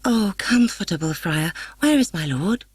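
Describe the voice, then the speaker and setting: A woman voicing a gentle, dignified young bride in a tragic storybook reading. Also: mid-range voice, expressive, unhurried, breathy edge, no echo. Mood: gentle, anxious. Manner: tender, dignified. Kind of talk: dramatic audiobook character reading.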